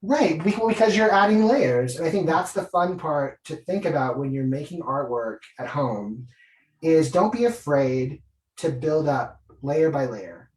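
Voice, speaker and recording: A man's voice: a little high, expressive, a little slow, darkish tone. A man, a guest illustrator, chatting casually and enthusiastically, thinking aloud as he demonstrates drawing. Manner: enthusiastic, informal, thinking aloud while working. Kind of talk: casual art demonstration and chat. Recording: roomy echo, away from mic.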